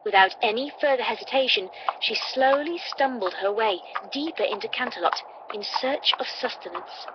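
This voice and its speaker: A woman's voice: fairly high pitch, normal speed, few pauses. A woman reading a scripted story as an audiobook narrator. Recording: dull, noisy playback through computer.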